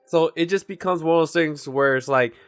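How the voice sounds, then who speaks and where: A man's voice: fairly high pitch, brisk, soft background music. Chatty man with a raised voice. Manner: chatty, leans on "like" and "you know"; calls his own voice monotone. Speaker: anime commentary host. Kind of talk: casual opinion commentary.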